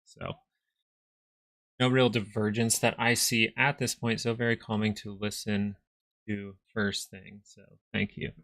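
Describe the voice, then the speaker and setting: A man hosting a casual live stock market stream, talking viewers through charts in a relaxed, conversational way. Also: lowish voice, a little slow, creaky, no room sound. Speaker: stock market livestream host.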